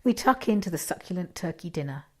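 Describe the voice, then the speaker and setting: A woman reading a first-person short story expressively, with dramatic pauses and hushed asides. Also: lowish voice, lively intonation.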